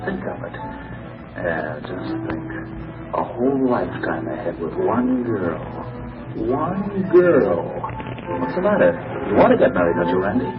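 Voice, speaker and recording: A low, nagging inner voice, loud music. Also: male voice, sing-song, measured pace. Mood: uneasy self-persuasion. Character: man's nagging inner voice, brooding and insistent. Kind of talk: 1940s radio acting. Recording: distant dull radio sound, loud music behind.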